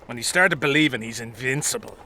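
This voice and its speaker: Casting: combative drinking soldier, fantasy game, sharp forceful voice, coarse common speech. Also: male voice, mid-range voice, a little fast, a little hiss or hum. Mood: grumbling. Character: blunt, boozy, grumbling common soldier in a fantasy army. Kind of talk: video game dialogue acting.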